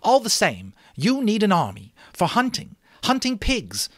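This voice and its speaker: A man reading a novel aloud, voicing an excitable, aggressive schoolboy hunter who talks loudly and challengingly. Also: high-pitched, unhurried, dry recording, studio quality, voice pushed up, full character voice. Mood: fierce, eager. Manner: loud, eager, challenging. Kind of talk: amateur dramatic reading of a novel.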